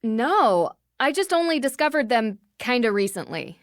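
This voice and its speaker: A woman voicing an earnest, inquisitive young American detective in scripted video game dialogue, speaking politely and clearly with persistent questions. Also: on the high side, very animated, normal speed, no echo, studio quality. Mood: friendly, curious. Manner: polite, probing, clearly articulated.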